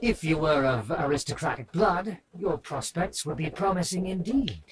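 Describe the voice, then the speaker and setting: Man as a pompous high-pitched nobleman. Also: animated, moderate pace, slight background noise, lightly acted. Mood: condescending approval. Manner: pompous, formal, archaic wording. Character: pompous, haughty aristocratic nobleman, easily flattered. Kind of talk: scripted video game character acting.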